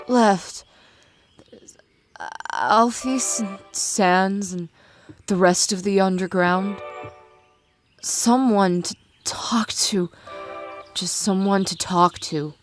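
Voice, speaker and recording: A woman voicing a dying female monster warrior who speaks weakly in halting, tearful phrases over music in a fan dramatic reading. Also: mid-range voice, lightly acted. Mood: anguished, weak. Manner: halting, weak, broken phrases. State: struggling to breathe, tearful. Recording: music bed with birdsong behind.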